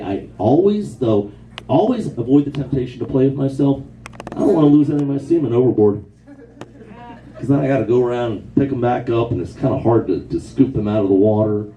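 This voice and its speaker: A man in his fifties works a small bar crowd with a loose, rambling stand-up set, padding his lines with "man" and "bro." His pitch swings widely. The mic sits some way off in a roomy space, with laughter coming back at him. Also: dark tone, far from the mic, slight background noise. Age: 50s. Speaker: stand-up comedian. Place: small kava bar comedy night. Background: audience laughter and heckles.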